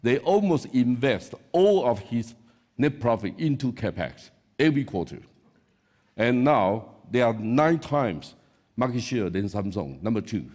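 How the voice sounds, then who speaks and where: A man's voice: mid-range voice, sing-song, a little slow, a little mellow. A man from Taiwan with a Taiwanese accent, giving an unscripted conference talk as an analyst, in short emphatic non-native English phrases, recorded in an echoey hall. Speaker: industry analyst, panel speaker.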